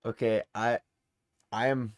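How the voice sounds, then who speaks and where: A man's voice: mid-range voice, little pitch movement, takes it slowly. A man hosting a quiz in a casual, informal and encouraging way. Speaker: quiz host. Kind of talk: casual game-show hosting.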